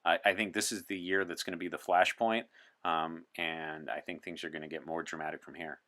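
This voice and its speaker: A man's voice: sits low, steady tone, fairly quick, pushed and bright. A man speaking as an interview guest, articulate, emphatic and opinionated, chatting in character as a visitor from the sixties. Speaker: podcast guest. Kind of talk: in-character interview as a time traveler from 1964.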